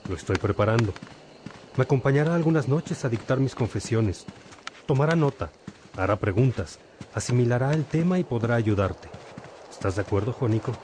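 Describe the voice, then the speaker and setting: An actor playing a calm, fatherly bishop who instructs a novice in a measured way in a religious radio drama. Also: medium pitch, a little hiss or hum, lightly acted.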